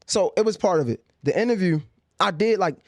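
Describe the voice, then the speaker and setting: A man in his thirties from Baltimore talks hard and fast in a podcast chat. He pushes his points with repeated words, and his high voice swings widely in pitch. The sound is close and dry. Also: lively intonation, a little mellow, dry recording. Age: 30s. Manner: emphatic, repeats words when pressing a point. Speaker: podcast guest, journalist. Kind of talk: heated casual conversation.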